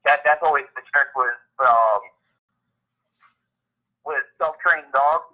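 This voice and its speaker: A man's voice: on the high side, slow pace, sharp tone. A man giving informative, casual answers as a guest, with a thin, phone-like sound. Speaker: guest on a live Q&A.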